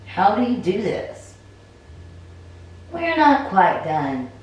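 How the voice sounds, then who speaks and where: A woman's voice: mid-range voice, big pitch swings, slow, lo-fi sound, voice pushed up. Woman acting an urgent fantasy heroine, echoey room. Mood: amazed. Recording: roomy, distant mic, faint noise.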